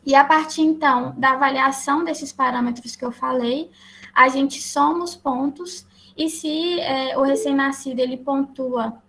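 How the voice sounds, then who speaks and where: A woman with a Brazilian accent presenting slides as a lecturer, explaining in a halting way. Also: high voice, fairly even intonation, fairly bright tone. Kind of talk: slide presentation to health professionals. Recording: clear background noise.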